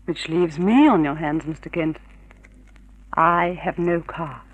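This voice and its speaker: A woman's voice: sits low, slow pace, breathy edge, full character voice, low-quality recording. A woman playing an arch, sophisticated, flirtatious society woman in a vintage radio drama. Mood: amused, arch. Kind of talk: 1950s radio drama acting.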